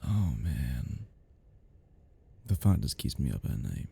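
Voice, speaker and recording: A man playing an obsessed, giddy and menacing crime scene cleaner in an intimate audio roleplay, rambling in a husky voice that drops into whispers. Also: very deep voice, big pitch swings, slow pace, warm tone, heavily acted. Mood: obsessed, admiring. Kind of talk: intimate ASMR-style dramatic roleplay.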